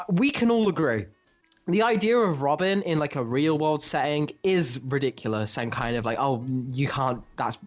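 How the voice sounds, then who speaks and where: A man's voice: on the high side, highs cut off. A man co-hosting a podcast, giving emphatic, opinionated, sweary takes in a casual discussion. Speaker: podcast co-host. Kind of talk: casual comic book discussion.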